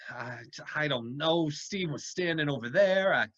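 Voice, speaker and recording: An actor playing a quick-witted, wisecracking lawyer in a vintage radio crime drama, stammering when rattled. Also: male voice, fairly high pitch, lively intonation, a bit bright, dull audio. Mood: dazed, flustered.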